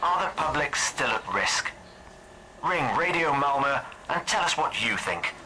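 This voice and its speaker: Bright, forceful radio presenter. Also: male voice, medium pitch, very animated, measured pace, a little hiss or hum, exaggerated voice, low-quality recording. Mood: provocative. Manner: dramatic, rhetorical. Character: earnest, provocative male local radio presenter. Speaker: actor in a radio detective drama. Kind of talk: news-style radio broadcast within a drama.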